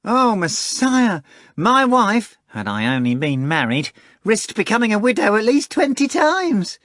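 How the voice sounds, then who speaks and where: Boastful male cat, shrill and breathless, swooping wildly. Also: wildly swooping pitch, measured pace, a bit bright, no echo, studio quality, full character voice. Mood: pleading. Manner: shrill, breathless, pleading and theatrical. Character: excitable fast-talking male cat, a boastful rogue.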